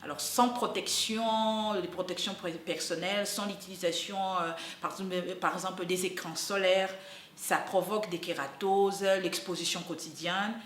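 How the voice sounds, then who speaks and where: A woman's voice: lowish voice, steady tone, moderate pace, fairly bright tone, slight background noise. Earnest young woman, roomy sound. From Democratic Republic of the Congo. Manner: earnest, hesitant, frequent fillers and restarts. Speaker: university student and activist. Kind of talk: personal testimony in an interview.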